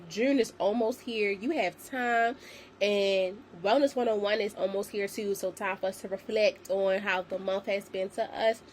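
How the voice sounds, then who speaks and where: A young woman in her twenties hosting a solo podcast, slightly raspy from a sinus infection, chatty and encouraging as she talks to her listeners. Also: mid-range voice, slight background noise. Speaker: podcast host. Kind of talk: casual solo podcast talk.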